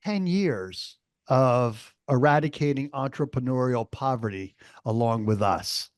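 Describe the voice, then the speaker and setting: A man's voice: medium pitch, unhurried, no room sound, clean studio sound. A man co-hosting a podcast, chatting casually, enthusiastic and hyping things up, often repeating words. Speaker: podcast co-host.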